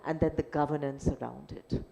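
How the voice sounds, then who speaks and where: A woman's voice: low voice, steady tone, fairly quick, vocal fry, mellow, rough recording. A middle-aged woman with a Pakistani English accent, speaking on a business panel in a thoughtful, hesitant way with many fillers. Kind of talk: panel discussion. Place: event hall.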